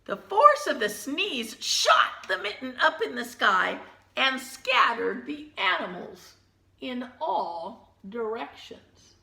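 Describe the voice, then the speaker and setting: A woman teacher reading a children's picture book aloud, gently pausing to point out the pictures in hushed asides. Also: high-pitched, sing-song, gravelly, roomy sound.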